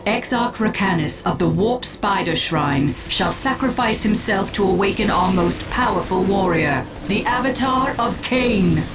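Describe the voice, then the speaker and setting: A woman playing a calm, calculating alien farseer in a video game, speaking in a measured, formal and imperious manner. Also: medium pitch, background noise, natural voice. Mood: cold, assured. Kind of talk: video game cutscene acting.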